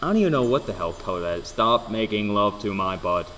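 A man's voice: on the low side, lively intonation, quick pace, fairly bright tone, slight background noise. A young man hosting a casual let's play, joking, swearing and stumbling over his words as he plays. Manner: joking, swearing, stammering restarts. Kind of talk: casual gameplay commentary. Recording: echoey room with long reverb.

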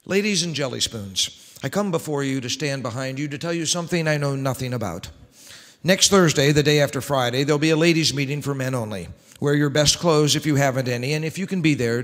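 A middle-aged man doing scripted old-time radio announcing as master of ceremonies. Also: mid-range voice. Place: live stage in a church-like hall. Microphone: stand microphone. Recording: reverberant hall.

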